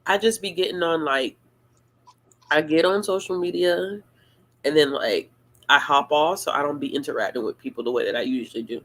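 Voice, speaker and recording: A woman hosting a casual live-stream Q&A, talking in a relaxed, rambling and playful way. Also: sits low, fairly quick. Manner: laid-back, rambling, playful. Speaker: booktuber host of a live Q&A.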